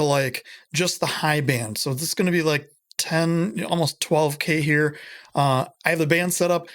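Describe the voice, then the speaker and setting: A middle-aged man talks through a casual home-studio tutorial from a small room. His voice sits a bit high for a man, and he rambles with plenty of ums and self-deprecating asides. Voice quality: higher voice than it used to be. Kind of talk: casual screen-recorded audio tutorial.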